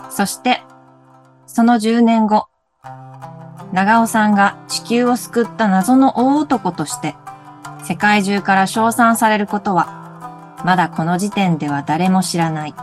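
Brief: woman, mid-pitched, hesitant and flustered, faint music underneath. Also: unhurried, long pauses. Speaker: lead and narrator of a radio drama. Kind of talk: comedic radio drama acting.

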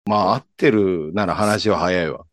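A man acting a blunt, self-conscious ringleader of a friend group in a scripted radio drama, husky and casual. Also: low-pitched, normal speed, no room sound, studio quality, close to an everyday voice. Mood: eager, surprised. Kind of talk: scripted radio drama dialogue in colloquial Japanese.

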